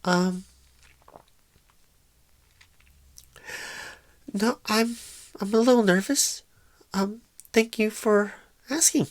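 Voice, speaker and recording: A woman playing a shy, inexperienced, nervous job recruiter in an ASMR roleplay, halting and stammering in a soft-spoken, awkward way. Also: mid-range voice, no room sound, lightly acted. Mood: awkward, tentative.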